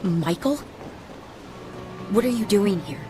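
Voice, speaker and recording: An actress playing a bitter, guarded ex-wife in a radio drama, speaking in a sardonic, clipped, reproachful way. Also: female voice, mid-range voice, expressive, slow pace. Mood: surprised, wary. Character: bitter, guarded, sarcastic ex-wife, no-nonsense woman. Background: faint background music.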